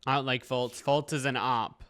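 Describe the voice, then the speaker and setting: Very low-voiced woman reacting to a game. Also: fairly even intonation, moderate pace, fairly bright tone. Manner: slangy, exasperated asides. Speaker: reaction streamer. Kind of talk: casual live game commentary.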